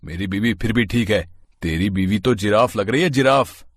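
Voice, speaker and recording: A man's voice: lowish voice, moderate pace, natural voice. A man playing an irritated, protective young husband and elder brother, firm and admonishing, in a family story.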